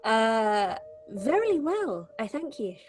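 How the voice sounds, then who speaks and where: A woman's voice: on the high side, sing-song, slow pace, a little mellow, faint music behind. A woman with a British accent chatting casually as a guest on a live stream. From Britain. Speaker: voice actor guest. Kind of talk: casual chat.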